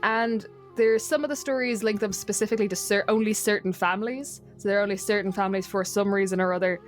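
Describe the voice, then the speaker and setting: A woman's voice: mid-range voice, steady tone, quick pace. Chatty Irish woman over background music. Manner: chatty, self-deprecating, wry. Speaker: podcast guest. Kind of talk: casual storytelling chat.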